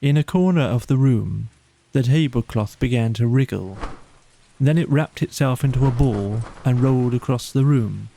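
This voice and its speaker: A man's voice: mid-range voice, normal speed, creaky, mellow, slight background noise, poor audio. A man narrating a children's bedtime story from a script as an audiobook reader.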